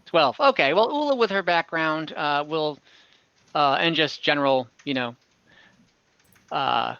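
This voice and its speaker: A man narrates a tabletop game as its game master in a bright, somewhat high voice. He speaks conversationally, stopping and rephrasing as he invents the scene. The sound is close and dry, slightly dulled like an online call. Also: lively intonation, vocal fry, clean tone, no room sound, highs cut off. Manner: conversational, halting, often rephrasing as he describes scenes.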